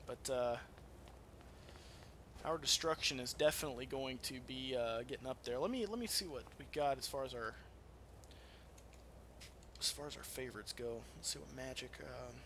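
A man giving casual let's-play game commentary in an excitable, foul-mouthed manner. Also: medium pitch, moderate pace, long pauses, slight background noise. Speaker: gamer playing and commentating.

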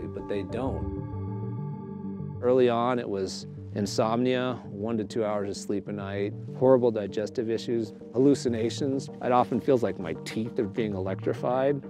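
A man in his forties speaking candidly and bluntly in a documentary interview. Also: fairly low pitch. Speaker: climber in withdrawal.

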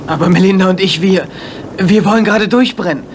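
A man's voice: high-pitched, fairly quick, slight background noise, natural voice. An actor playing a flustered, pleading young man in a radio play. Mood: urgent, pleading. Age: young adult.

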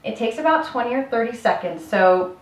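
A woman's voice: on the high side, animated, brisk. A woman in her thirties, a health coach, giving an upbeat step-by-step cooking demonstration in her home kitchen and talking straight to the viewer. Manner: upbeat, instructional, addresses viewer directly. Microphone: camera mic at a distance. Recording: roomy kitchen from a distance.

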